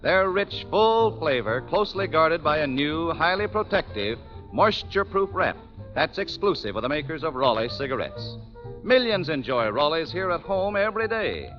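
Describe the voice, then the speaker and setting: An American man announces a 1940s radio program with scripted polish. His high voice swings widely in pitch, and the old broadcast sounds dull, with faint music behind. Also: very animated, normal speed.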